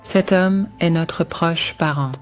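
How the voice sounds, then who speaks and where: A woman playing an older, devout mother-in-law who gives caring, measured advice in an animated Bible story. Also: on the low side, soft background music. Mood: devout, grateful.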